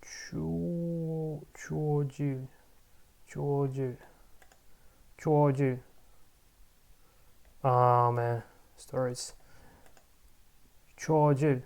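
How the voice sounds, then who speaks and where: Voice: mid-range voice, extremely slow, gravelly, long pauses. A language learner in a casual vlog, repeating words over and over, correcting themself and muttering. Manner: muttering to self, bursts of excitement. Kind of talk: casual pronunciation practice vlog.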